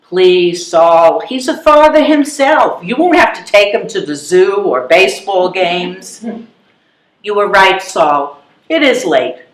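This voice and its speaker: Actress playing a wry old widow, lively delivery. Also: female voice, mid-range voice, takes it slowly. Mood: indignant. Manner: wry, pointed rhetorical questions. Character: blunt, wry, determined elderly widow wanting marriage. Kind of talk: readers theatre stage comedy. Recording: echoey live room recording.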